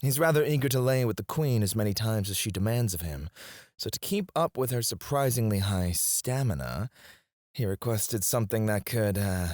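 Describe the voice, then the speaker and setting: A man's voice: on the low side, no room sound, clean studio sound. An actor playing a haughty, sarcastic, prickly and flirtatious male court alchemist in an ASMR fantasy roleplay, with a sardonic drawl. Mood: sly, amused, awkward. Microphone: close studio mic, dry.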